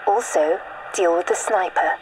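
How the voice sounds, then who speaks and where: An actress playing a businesslike military radio operator, giving clipped, formal orders in a game over a radio. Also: female voice, lowish voice, measured pace. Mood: brisk, official. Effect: radio transmission. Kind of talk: scripted game radio dialogue. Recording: noisy two-way radio transmission.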